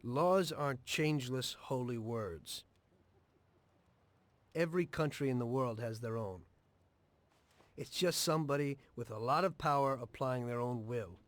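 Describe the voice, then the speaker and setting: An actor playing a weary, pragmatic middle-aged mobster in a video game, plainspoken and measured. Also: male voice, mid-range voice, no echo, natural voice. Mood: matter-of-fact, firm.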